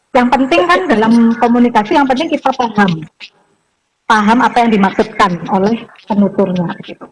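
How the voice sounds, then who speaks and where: A woman's voice: on the high side, darkish tone. Woman lecturing, noisy recording. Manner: conversational, mixes in English terms. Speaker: university lecturer training preschool teachers. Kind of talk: informal training lecture.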